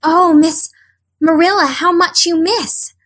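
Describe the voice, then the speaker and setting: A woman's voice: very high voice, fairly even intonation, takes it slowly, a bit bright, pitched above the performer's own voice, exaggerated voice. A woman voicing an eager, imaginative young orphan girl who speaks in a breathless, pleading, wide-eyed way. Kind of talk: audiobook character voice.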